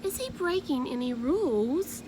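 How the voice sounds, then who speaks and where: A strict lady librarian, as a woman reader puts her on, stern and commanding in a raised, fairly high voice. She is a storybook stickler for rules, speaking slowly over a noisy recording. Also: very animated. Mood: brisk, stern.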